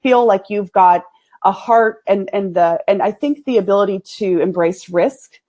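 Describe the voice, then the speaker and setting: A woman's voice: mid-range voice, normal speed. East Texas woman, candid and laughing. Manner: laughs often, warm candid delivery with fillers. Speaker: guest, agency founder. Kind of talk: casual interview chat.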